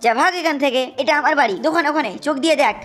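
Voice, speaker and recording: Woman as a high-pitched grumpy old cartoon man. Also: rapid-fire, heavy background noise, natural voice. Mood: irritated. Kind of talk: cartoon dubbing.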